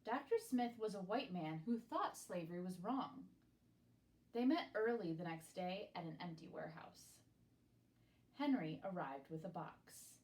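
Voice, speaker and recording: Slow, deliberate woman reading a storybook. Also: fairly low pitch, animated, darkish tone, low-quality recording. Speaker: storybook reader. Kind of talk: expressive read-aloud of a children's picture book.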